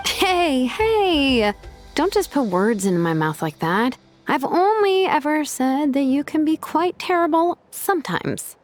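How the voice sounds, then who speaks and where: A woman's voice: sits high, sing-song, a little slow. An actress playing a laid-back, teasing young woman in a video game, with a casual, drawling manner. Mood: playfully defensive. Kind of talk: video game character dialogue. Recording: music behind the voice.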